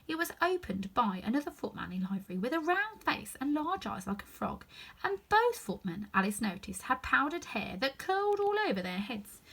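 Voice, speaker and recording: Expressive, breathy woman reading a story. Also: sits high, poor audio. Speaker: storybook reader. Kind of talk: expressive children's story narration.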